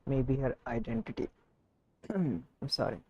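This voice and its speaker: A man livestreaming a game, reacting casually in an offhand, halting way. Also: mid-range voice, very animated, creaky, husky, no room sound, lo-fi sound. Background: game music.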